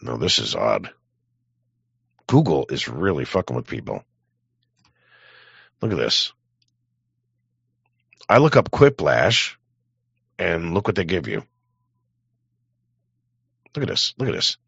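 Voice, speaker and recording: Very deep, gravelly male streamer. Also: big pitch swings, takes it slowly, long pauses, a bit bright, no room sound. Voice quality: gravelly, husky. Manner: rambling, blunt, profane. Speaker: live streamer. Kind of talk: casual chat to stream viewers. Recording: dull, close, dry microphone.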